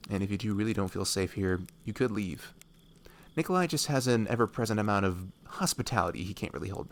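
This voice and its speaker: An easygoing fantasy woodsman, played by a man, talks in a lowish, matter-of-fact voice. He is blunt yet kindly and unhurried, over faint night ambience. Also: slight background noise, lightly acted. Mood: reassuring. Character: laid-back male woodsman, blunt, kindly, indifferent to royalty. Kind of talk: fantasy audio roleplay.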